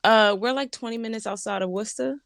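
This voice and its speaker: A woman's voice: on the high side, fast talker, creaky, no echo, studio quality. A woman co-host with a New York accent, chatting casually and laughing often. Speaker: podcast co-host. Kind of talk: casual interview chat.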